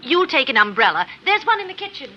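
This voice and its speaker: An actress playing a chatty, affectionate, gently bossy wife, breezy and teasing, in 1950s radio drama style. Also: female voice, high-pitched, sing-song, fast, full character voice. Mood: solicitous. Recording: muffled, noisy 1950s radio recording.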